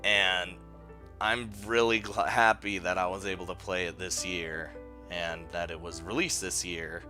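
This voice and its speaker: Slow-paced man with a bright, deep voice. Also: little pitch movement. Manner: rambling, informal, chuckles often. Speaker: video host. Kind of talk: casual video countdown commentary. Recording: music playing behind the voice. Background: background music.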